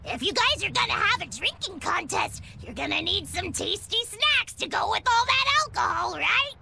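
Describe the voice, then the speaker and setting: A woman voicing an excitable, whiny young child in a video game. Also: very high voice, moderate pace, creaky, noisy background, exaggerated voice. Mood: eager.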